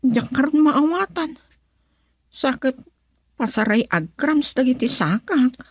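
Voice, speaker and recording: A woman's voice: high-pitched, animated, takes it slowly, slightly rough, dry recording, heavily acted. A woman acting a worried, devoted young wife in an Ilocano radio drama, with an Ilocano accent. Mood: gentle, slightly chiding.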